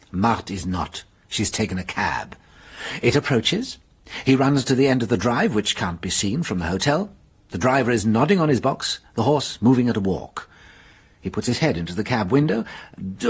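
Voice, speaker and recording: An actor playing a shrewd middle-aged police detective, laying out his reasoning in a measured way. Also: mid-range voice, slightly rough, exaggerated voice. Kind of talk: radio drama acting.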